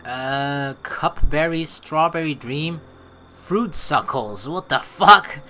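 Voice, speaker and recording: A man's voice: a little high, takes it slowly, bright, forceful tone, soft background music. A man hosting a let's play, reading game text aloud and reacting casually and animatedly, with free swearing.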